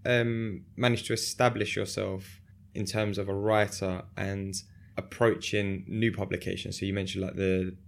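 A man hosting a casual interview, agreeable and relaxed, asking loose rambling questions. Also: deep voice, normal speed, gravelly. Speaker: interview host.

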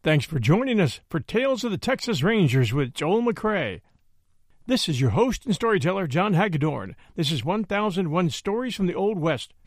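Male podcast host, lively, dry close sound, relaxed sign-off. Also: high-pitched, normal speed, no room sound. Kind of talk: casual sign-off.

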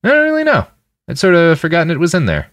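A man's voice: a little high, huge pitch swings, quick pace, fairly bright tone, dry recording, pitched above the performer's own voice. A man acts out a battle-hardened post-human fighter in an audiobook. He is sardonic and offhand, swearing casually, with hugely swinging intonation and a close, dry sound. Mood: puzzled, offhand. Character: gruff irreverent blade-armed post-human male fighter, cheerfully callous. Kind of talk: fiction audiobook character voice.

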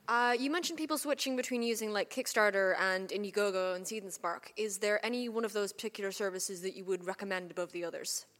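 Woman, mid pitch, informal audience question, long reverb. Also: fast talker, hardly any pauses, fairly bright tone. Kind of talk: spontaneous spoken question. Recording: echoey hall with long reverb.

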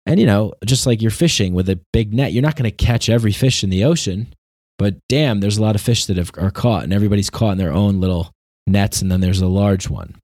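Male commentator, lowish pitch, dry close sound; earnest off-the-cuff opinion talk with halting, repeated phrases. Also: a little mellow, no echo. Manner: halting, repetitive, searching for words. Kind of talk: unscripted opinion monologue.

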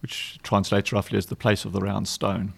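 A man's voice: on the low side, monotone, moderate pace, vocal fry. A man with a South African accent, a charity director telling stories in an interview, with many ums and restarts. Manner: warm anecdotal, frequent ums and restarts. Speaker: guest, charity executive director. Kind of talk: relaxed storytelling interview.

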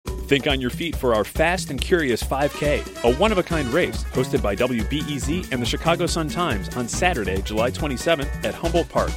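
A man announcer reading a radio promotional spot in a smooth manner. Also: mid-range voice, lively intonation, brisk, music in the background.

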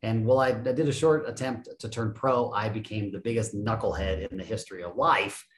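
Animated man in his fifties, roomy sound. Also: lowish voice, brisk. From United States. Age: 50s. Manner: animated, self-deprecating, laughs often. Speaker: podcast guest. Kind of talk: casual interview talk.

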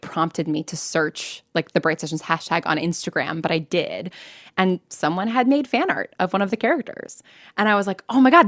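A chatty American woman speaks as an interview guest in a slightly low voice. She tells stories casually and pokes fun at herself, recorded very dry. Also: no echo. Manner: chatty, self-deprecating, quotes own thoughts. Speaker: interview guest, podcast writer and producer.

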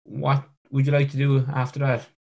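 Brief: male interviewer, flat level delivery, hesitant and stumbling phrasing. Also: mid-range voice, moderate pace, gravelly, slightly rough. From Ireland. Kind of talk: casual interview.

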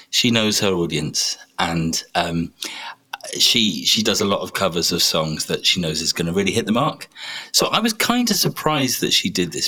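A man's voice: deep voice, bright, forceful tone, low-quality recording. A man hosting a casual chat, introducing segments with a wry, laughing manner. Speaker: podcast host.

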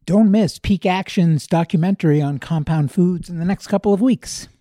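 A man's voice: high voice, normal speed, warm tone, dry recording. A man hosting a podcast, introducing the show and interviewing a guest.

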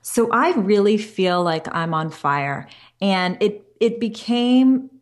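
A young woman speaks as an interview guest, earnest and open about her plans. Her voice sits at an ordinary middle pitch, and she talks in long runs, often circling back with small restarts. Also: animated, slow pace. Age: young adult. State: pregnant. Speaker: career coach, podcast guest. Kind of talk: interview conversation.

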